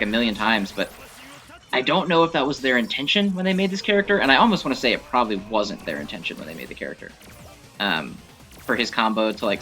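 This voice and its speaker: A man's voice: mid-range voice, pushed and bright. Man casually rambling over game music. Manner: conversational, opinionated, thinking aloud. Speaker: gaming video host. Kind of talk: casual rambling gameplay commentary.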